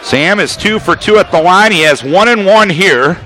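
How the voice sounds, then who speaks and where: A man calling a basketball game on radio, giving a quick, detailed call of every pass along with the score and the clock. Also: sits high, expressive, bright, forceful tone, poor audio. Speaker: play-by-play announcer.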